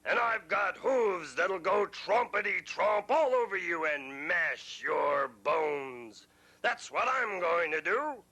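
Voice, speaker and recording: A man voicing the biggest billy goat, bold and boastful, shouting back. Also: sits high, expressive, slow pace, husky, exaggerated voice. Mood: defiant.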